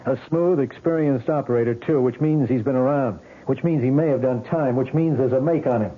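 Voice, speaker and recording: A tinny, band-limited old radio recording carries an actor as a police lieutenant. He is a blunt, insistent man with a medium-pitched voice who questions in a pressing, matter-of-fact way, in 1970s radio drama style. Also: brisk, natural voice. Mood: businesslike.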